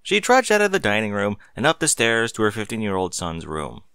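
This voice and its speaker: Bright, animated male story narrator. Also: lowish voice, fairly quick, smooth, no echo, clean studio sound. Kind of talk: expressive scripted story narration.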